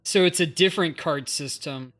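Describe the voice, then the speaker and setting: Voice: medium pitch, moderate pace, fairly bright tone. A game livestreamer chatting casually with viewers, inquisitive and thinking aloud. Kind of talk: casual chat with viewers while playing.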